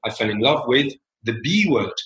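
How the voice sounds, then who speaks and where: A man in his forties from France with a French accent, talking as a founder guest in an interview in a measured, reflective way. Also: medium pitch, very animated, fairly bright tone, roomy sound. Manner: measured, reflective, many fillers and restarts. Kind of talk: interview conversation.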